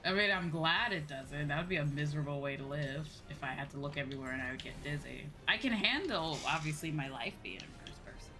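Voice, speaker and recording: Woman streamer just chatting while gaming, lowish voice, pretty informal, clear music playing behind her. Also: lively intonation, fast, few pauses, sharp tone. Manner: informal, chatty, swears casually. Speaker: livestreamer playing online games and chatting with viewers. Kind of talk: casual gaming stream chat. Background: game music.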